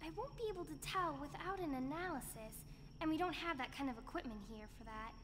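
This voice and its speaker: A woman's voice: high-pitched, lively intonation, brisk, fairly bright tone. An actress playing an eager, grumbly young woman tech sidekick in a video game. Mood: puzzled. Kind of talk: English video game voice acting. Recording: long reverb, faint ambient noise.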